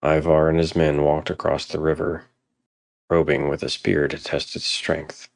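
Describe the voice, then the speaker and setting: Very deep, gravelly male storyteller. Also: level tone, no room sound, studio quality. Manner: solemn, measured storytelling. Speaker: narrator. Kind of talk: scripted dramatic story narration.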